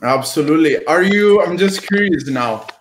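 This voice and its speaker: A young Italian man with an Italian accent, hosting an interview over a video call and asking measured questions. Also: a little high, expressive, a little hiss or hum. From Italy. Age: young adult. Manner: measured, slow questions with many fillers. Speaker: host, performance nutritionist. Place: home room with bookshelves. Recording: echoey room on a video call.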